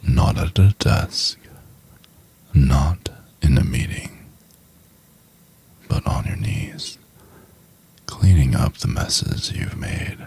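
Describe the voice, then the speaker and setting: Guy doing a mean ceo asmr, super deep n breathy, slow, looking down on you. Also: expressive, a little mellow, full character voice. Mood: scornful. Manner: condescending, slow and deliberate, often half-whispered. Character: cold, contemptuous, domineering male CEO berating an intern. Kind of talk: intimate ASMR roleplay.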